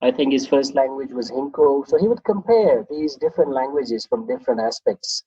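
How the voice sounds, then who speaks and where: A man's voice: mid-range voice, normal speed, breathy edge, dry recording, muffled recording. A man from Pakistan with a Pakistani accent, an English teacher talking about his life as a language learner. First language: Pashto. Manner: animated, reflective, often laughs at himself. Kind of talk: conversational interview.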